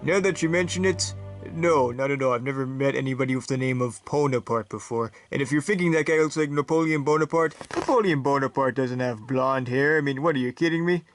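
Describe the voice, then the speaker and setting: A man voicing a vain, cocky young president who talks in a brash, quick and defensive way. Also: mid-range voice, faint music behind, natural voice. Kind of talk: comedic brickfilm voice acting.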